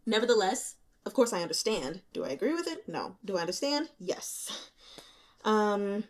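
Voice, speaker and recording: A woman hosting a reading channel, talking through her notes in a casual, candid, colloquial and animated way. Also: lowish voice. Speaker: host of a book reading channel. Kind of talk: casual chatty book commentary. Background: rain.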